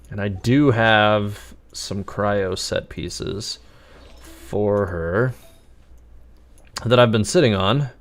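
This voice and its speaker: A man's voice: low-pitched, creaky. A man casually commentating on a live game stream, musing and thinking aloud.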